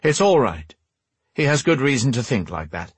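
Man acting a suave, courteous rogue, crisp voice. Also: medium pitch, very animated, moderate pace, no echo, pitched above the performer's own voice. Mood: conciliatory. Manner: polished, formal, amiable. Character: suave, smiling male rogue, theatrically courteous. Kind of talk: audiobook character dialogue.

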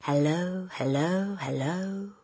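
A woman's voice: on the low side, mellow, breathy edge, no echo, pitched above the performer's own voice, heavily acted. A woman doing a spooky, drawling talking parrot. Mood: calling out. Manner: repetitive calls, slow eerie drawn-out phrases.

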